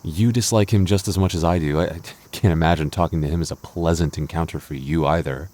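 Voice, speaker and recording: A man's voice: sits low, steady tone, quick pace, darkish tone, lightly acted. A man playing a jealous, protective young boyfriend in an intimate audio roleplay, defensive and stammering but loving. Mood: annoyed, possessive. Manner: stammering, defensive, teasing asides.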